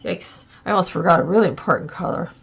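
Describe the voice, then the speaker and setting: Stuffy-nosed lady doing a laid-back art demo, low gravelly voice, lots of ums, soft music under it. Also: fast talker. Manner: chatty, thinking aloud while working, many ums and likes. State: congested, a little plugged up. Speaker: art channel host. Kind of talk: casual art tutorial demonstration.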